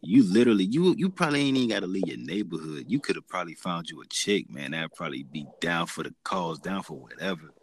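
Man, very low voice, laid-back slangy casual chat, faint hiss behind. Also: animated. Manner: laid-back slangy talk, drawn-out fillers.

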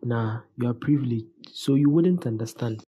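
A man with a Ghanaian accent and a husky voice playing a defensive, resentful teenage son from a poor home in an audio drama. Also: medium pitch, normal speed, mellow, close to an everyday voice. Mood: bitter, resentful. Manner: sullen, defensive.

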